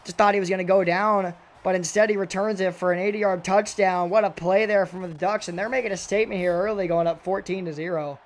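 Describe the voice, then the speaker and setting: Excitable high-voiced young male commentator. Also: a little fast, hardly any pauses. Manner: excitable, voice jumps high and loud on big plays. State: raspy voice. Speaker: video game football commentator. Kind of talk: play-by-play commentary.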